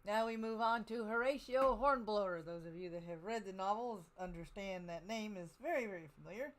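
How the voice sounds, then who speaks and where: Woman hosting, mid-pitched voice. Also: fairly quick. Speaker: podcast host. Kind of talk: reading introductions and chatting with a panel.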